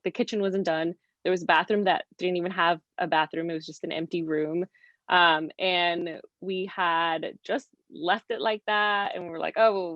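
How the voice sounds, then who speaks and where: A woman's voice: on the low side, a bit bright, no echo. Chatty woman, dull lo-fi call audio. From Mexico, later California. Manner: chatty, informal storytelling with quoted asides. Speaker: interview guest, real estate investor. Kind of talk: casual video interview chat.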